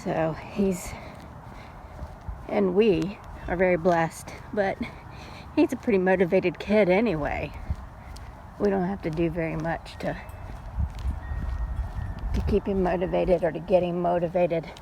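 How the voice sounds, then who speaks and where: A middle-aged woman chatting casually in an unhurried, folksy way, like a mom telling stories while out on a walk. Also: on the low side, creaky, poor audio. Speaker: storytelling vlogger and mother. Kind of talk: casual rambling chat. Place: outdoors, walking. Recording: steady background noise, outdoors on a walk.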